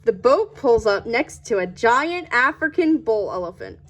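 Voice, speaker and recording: A woman's voice: fairly high pitch, very animated, measured pace. A woman hosting a read-aloud, reading a children's storybook. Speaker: channel host and story reader.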